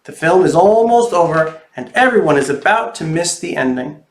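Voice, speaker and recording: A bossy, fretful little storybook girl, acted out by a man, pitched a bit high in a very echoey room. The delivery is dramatic and drawn-out, made for a children's read-aloud. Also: lively intonation. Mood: fretful, dismayed. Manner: dramatic, drawn-out hollering and fretting. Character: cute little girl, fretful, bossy, loud waker of sleepers. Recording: roomy, echoey sound.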